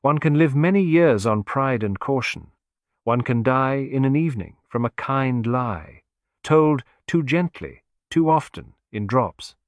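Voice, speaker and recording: A man voicing a refined, philosophical foreign detective in a detective audiobook, speaking gently, precisely and deliberately. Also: medium pitch, expressive, dry recording, clean studio sound, natural voice. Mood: gentle, grave.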